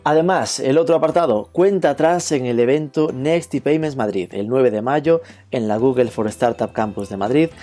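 A man hosting a podcast interview, talking briskly with playful asides. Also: medium pitch, dark tone, soft background music. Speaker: podcast host.

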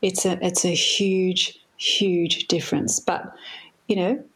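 A woman therapist guest on a podcast, explaining with lively enthusiasm. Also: fairly low pitch, takes it slowly, slightly rough. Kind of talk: podcast interview.

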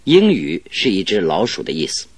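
An actor playing a confident, cheerful detective in a radio drama, explaining things in an animated, self-assured, didactic way. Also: male voice, deep voice, normal speed, dry recording, exaggerated voice. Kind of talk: Chinese radio drama acting.

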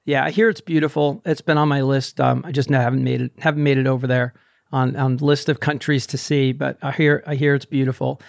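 A man's voice: mid-range voice, flat delivery, fairly quick, vocal fry, dry recording, clean studio sound. A man in his sixties hosting a running podcast over a video call, chatty and upbeat. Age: 60s. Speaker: podcast host. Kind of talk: casual interview chat.

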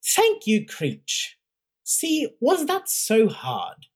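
A woman's voice: on the high side, huge pitch swings, extremely slow, slightly rough, voice pushed up, exaggerated voice. A narrator voicing a stern, commanding woman who heads an imperial academy, speaking with cutting scorn. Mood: scornful.

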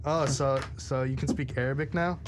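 A man's voice: mid-range voice, fairly even intonation, normal speed, crowd noise. An eighteen-year-old man from New Jersey co-hosting a show in casual, joking chat. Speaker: co-host. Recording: faint background noise, room ambience.

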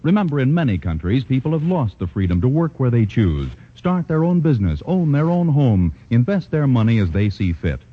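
A man reading commercials in the smooth, persuasive style of a 1940s radio announcer. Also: mid-range voice, sing-song, a little fast, few pauses. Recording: muffled old radio broadcast.

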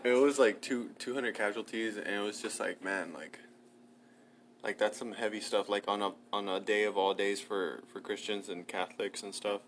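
A man's voice: on the low side, steady tone, moderate pace, a bit bright, a little hiss or hum. A young man co-hosting a podcast, talking casually and rambling, filling sentences with "like" and the odd swear. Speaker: podcast co-host. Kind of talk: casual chat.

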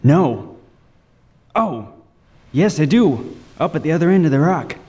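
A man's voice: fairly high pitch, sing-song, a little slow, a little mellow, husky, full character voice. An actor playing a lighthouse keeper who tells his own harrowing tale in vivid, suspenseful old-time radio style. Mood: urgent alarm. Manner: vivid, suspenseful first-person storytelling. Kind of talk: 1940s-style radio drama narration and acting. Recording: long reverb with music underneath.

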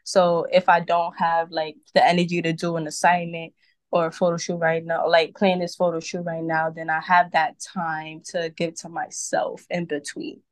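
A woman's voice: lowish voice, monotone, moderate pace, dry recording. A young adult woman from Brooklyn, chatting casually as a podcast guest, upbeat and full of fillers. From Brooklyn, New York. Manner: chatty, upbeat, with many "like" and "you know" fillers. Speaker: podcast guest, model and event host. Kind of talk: casual interview chat.

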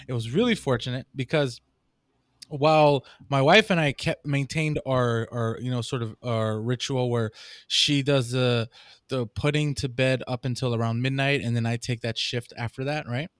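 Young new dad, podcast host, mid-pitched, rambling and animated in his telling, close and dry mic. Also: moderate pace, no echo. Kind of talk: casual chat.

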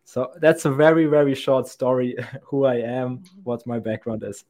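A young man talking as a podcast guest at an ordinary middle pitch. He wanders through his answers thoughtfully, doubling back on words and restarting sentences. Now and then a soft laugh slips in. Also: normal speed. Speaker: podcast guest, sales account executive. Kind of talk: conversational interview answers.

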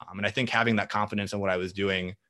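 A man's voice: on the low side, steady tone, rapid-fire, bright, forceful tone. A young man as a podcast guest, talking with confident, fluent energy in long answers. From Minnesota. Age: young adult. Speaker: guest, marketing entrepreneur.

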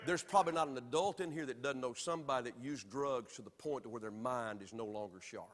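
A man from Alabama with a Southern accent, preaching a sermon from the pulpit with emphatic swings between loud and soft. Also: medium pitch, fast, in a large hall. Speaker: pastor. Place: church sanctuary.

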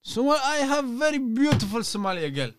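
A man's voice: very high voice, sing-song, moderate pace, background noise. An actor playing a stern, pushy older immigrant father who speaks broken English. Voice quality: broken English, repeats himself insistently.

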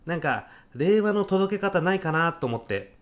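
A man's voice: sits high, animated, moderate pace. A man acting as an earnest, overzealous young salesman who moonlights as a food courier in a comic radio drama, speaking in eager, casual-polite salaryman style. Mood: earnest, excited.

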